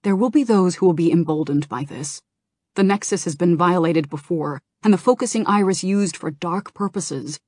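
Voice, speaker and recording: A woman reading an audiobook, voicing a troubled young male dragon leader who speaks earnestly and gravely. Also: on the low side, normal speed, darkish tone, no echo, natural voice.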